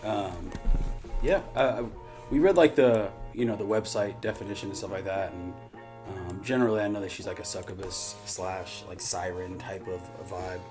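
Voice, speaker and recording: Male reaction host, low register, informal and profane commentary, music playing underneath. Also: moderate pace.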